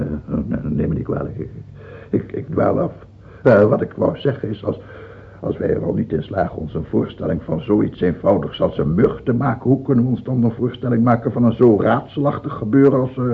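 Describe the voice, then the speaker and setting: An actor playing a dying, tyrannical king of France in a radio drama, fearful and halting, then imperious. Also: mid-range voice, vocal fry, slightly rough, exaggerated voice. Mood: brooding. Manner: halting and stammering, turning imperious. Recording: muffled, tinny old radio-play recording.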